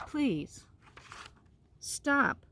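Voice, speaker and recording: A woman reading the part of wise, reverent nature spirits from a storybook in a measured manner. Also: sits high, very animated, fairly bright tone, dry recording, voice pushed up, full character voice.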